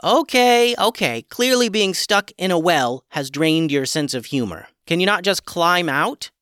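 A man doing a storybook talking chicken, higher voice, offhand, a bit awkward, dry. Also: animated, measured pace, a bit bright, clean tone, no echo.

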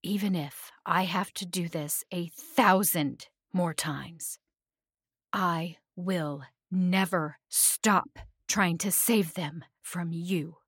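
A woman's voice: fairly low pitch, slow, fairly bright tone, husky, no room sound, studio quality, exaggerated voice. A woman voicing Opal's part as a young, defiant and loyal friend in a dramatic, emphatic story reading. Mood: determined.

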